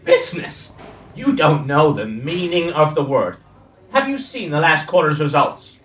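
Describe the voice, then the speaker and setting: A man's voice: fairly high pitch, very animated, unhurried, slight background noise. A man playing an aggressive area manager who berates a subordinate in a radio play, heard in a roomy space from a distance. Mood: furious. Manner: shouting, aggressive. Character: furious, domineering male area manager. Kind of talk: amateur radio play acting.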